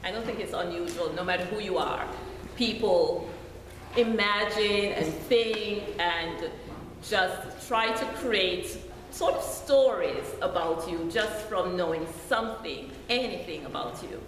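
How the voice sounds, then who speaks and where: Woman, Jamaican accent, middle-aged, deacon's sermon, slow deliberate delivery, booming church echo. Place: church sanctuary. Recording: echoey church hall with faint noise.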